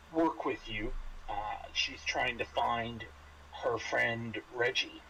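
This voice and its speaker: A man running a tabletop game as game master, narrating casually and haltingly with dry, understated humour. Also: mid-range voice, a bit bright, slight background noise, rough recording.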